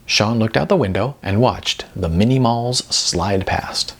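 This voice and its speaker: A man's voice: on the low side, a little slow, vocal fry, slightly rough. A man reading scripted fiction as an audiobook narrator.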